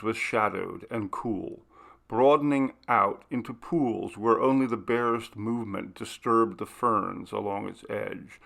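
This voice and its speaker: A man narrating a classic ghost story in a measured, unhurried reading. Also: medium pitch, gravelly, a bit bright. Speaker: audiobook reader. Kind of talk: scripted narration.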